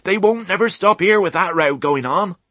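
An old mother sheep from a storybook, acted out by a male narrator, raised in pitch and husky. She talks in a folksy West Country English dialect, plain-spoken, kindly and resigned to her lot. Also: lively intonation, measured pace, sharp tone, dry recording. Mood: urgent, bawling. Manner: rustic dialect, gruffly folksy.

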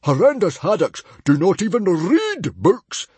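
A huge, pompous Viking chief from a storybook, high and strained in pitch with a husky edge. The delivery is slow and blustering, drawing out each indignant word. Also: male voice, wildly swooping pitch, darkish tone, no echo, heavily acted. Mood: furious, bellowing. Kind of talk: character voice in storybook narration.

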